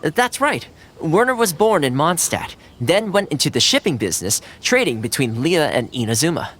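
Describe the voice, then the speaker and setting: An actor, a man, playing a polite, diplomatic video game character explaining things in a measured, tactful way. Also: on the high side, very animated, a bit bright, faint music behind. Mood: calm, tactful.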